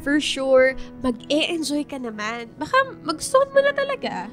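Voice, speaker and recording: A young female student with a high voice, the best friend in a radio romance. She teases in a colloquial, exasperated way but stays supportive, over background music. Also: normal speed. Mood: amused, teasing. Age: young adult. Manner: teasing, animated, colloquial. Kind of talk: radio romance drama dialogue.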